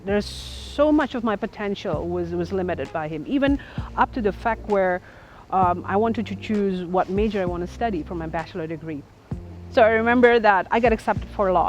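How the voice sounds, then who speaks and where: A young woman from Indonesia telling her life story in an interview, reflective and pausing often. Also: mid-range voice. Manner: reflective, frequent pauses with ums. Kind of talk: personal storytelling. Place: South Korea.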